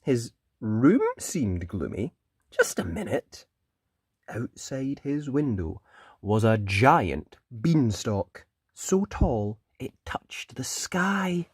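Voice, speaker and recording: Children's storyteller, hugely lively pitch, slow pace, dramatic emphasis, storybook narration. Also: breathy edge, no echo, clean studio sound. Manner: dramatic, emphatic pauses and repetition. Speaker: children's story reader, channel host.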